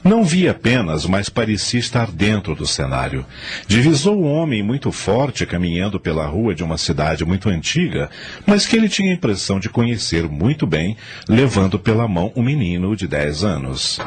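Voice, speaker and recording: Deep-voiced dramatic male radio narrator. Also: very animated, moderate pace, slight background noise. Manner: dramatic storytelling. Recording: faint music under the voice.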